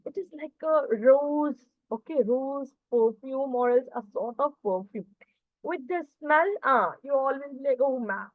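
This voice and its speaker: A woman with an Indian accent, a native Urdu speaker, lectures to an online class. Her voice sits fairly high and is closely, dryly captured through a thin, phone-like connection. She explains step by step and keeps checking that learners are with her. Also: no echo. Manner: didactic, frequent check questions to learners. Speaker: communication skills teacher. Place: online video call session. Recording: muffled, narrow-band video call audio.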